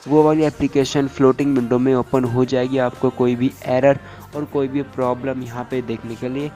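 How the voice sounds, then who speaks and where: A man's voice: medium pitch, little pitch movement, moderate pace, dark tone, soft background music. A man giving a step-by-step phone tutorial as a YouTuber, repeating instructional phrases and mixing in English tech terms.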